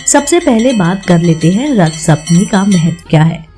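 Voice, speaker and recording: A woman's voice: on the low side, animated, moderate pace, mellow, faint music behind. A woman hosting a devotional explainer, telling religious tales and instructing listeners as friends. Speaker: host of a devotional channel. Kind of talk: devotional explainer narration with storytelling.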